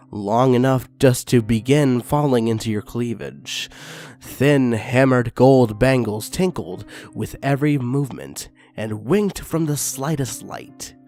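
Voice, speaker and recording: A man reads a story aloud for his channel, his pitch rising and falling a lot. His asides are excitable and crude, and faint music plays underneath. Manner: excitable and crude in the intro, then steady reading. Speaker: channel host and reader. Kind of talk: reading fanfiction aloud.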